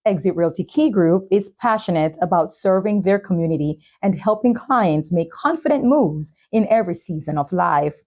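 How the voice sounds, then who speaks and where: A woman's voice: fairly low pitch, lively intonation, moderate pace, few pauses, darkish tone. A woman hosting a talk show and podcast, interviewing and presenting to listeners. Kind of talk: interviewing and presenting sponsor messages.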